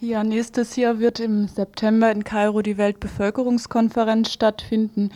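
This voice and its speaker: Flat-toned, higher-pitched woman announcer. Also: moderate pace. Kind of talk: read programme announcement.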